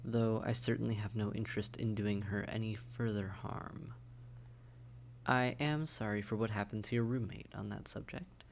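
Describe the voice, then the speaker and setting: A man playing a low, gravelly, courtly vampire. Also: fairly even intonation, moderate pace, slight background noise. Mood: coaxing, civil. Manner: polite, wry, articulate, teasing, with sighs and hushed asides. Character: suave, condescending, courtly male vampire. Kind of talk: intimate audio roleplay acting, addressed to the listener.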